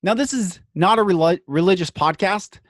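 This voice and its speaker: A man hosting a podcast, speaking in an earnest, emphatic inspirational monologue broken into short phrases with dramatic pauses. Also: high voice, dry recording, studio quality.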